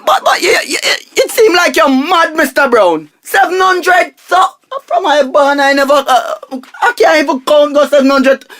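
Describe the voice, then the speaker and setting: A man playing a pompous, penny-pinching, excitable husband and money minister with a Jamaican accent in a comic radio drama, blustering and repeating himself. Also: very high voice, moderate pace, few pauses, exaggerated voice. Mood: outraged. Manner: blustering, repetitive, pompous, exclaiming.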